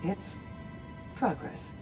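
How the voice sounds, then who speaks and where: Composed warrior woman, music behind. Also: extremely slow. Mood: calm hope. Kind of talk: video game dialogue.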